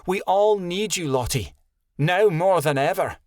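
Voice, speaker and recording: A man voicing a stern, motherly female wolf elder in a high, gentle voice. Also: expressive, a little slow, dry recording. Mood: comforting.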